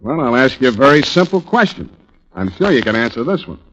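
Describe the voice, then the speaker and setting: An American actor playing a hard, sarcastic police interrogator with blunt, clipped delivery in a 1940s radio drama. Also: male voice, mid-range voice, very animated, fairly quick, a bit bright, breathy edge. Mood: sarcastic, needling. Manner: blunt, needling, clipped questioning. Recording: dull vintage 1940s radio recording.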